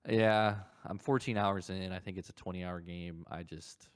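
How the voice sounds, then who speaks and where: Man, low register, casual gaming chat, blunt, sweary, podcast co-host. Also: steady tone, normal speed, clear, resonant tone, dry recording, clean studio sound.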